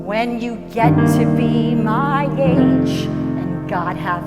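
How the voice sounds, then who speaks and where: A woman's voice: on the high side, takes it slowly, in a large hall. An actress playing a frail, wisecracking, kind-hearted elderly mother in a staged musical, speaking in a folksy, emphatic, theatrical way. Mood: wry, defiant. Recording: live stage performance over loud piano. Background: piano accompaniment.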